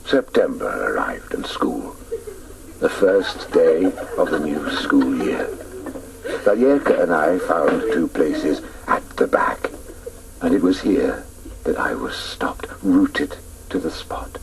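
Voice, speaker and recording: An actor narrating a radio drama as a wistful grown man recalling his boyhood in a measured, reflective way. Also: extremely low pitch, sing-song, gravelly, crowd noise, heavily acted, poor audio.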